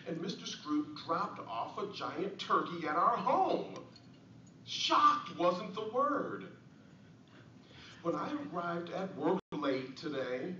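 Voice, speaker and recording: A man playing a poor, humble Victorian father and clerk, reading his diary aloud in an earnest, theatrical way with deliberate pauses. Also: fairly high pitch, sharp tone. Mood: astonished, joyful. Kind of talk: dramatic diary-style reading of an essay. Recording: echoey, noisy, muffled recording from far off.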